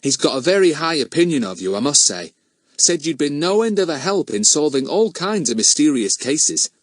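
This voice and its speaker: A man's voice: fairly high pitch, very animated, moderate pace, no room sound, voice pushed up. A man voicing a decent, downtrodden village police constable in a children's audiobook, plain-spoken and modest in his phrasing. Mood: admiring.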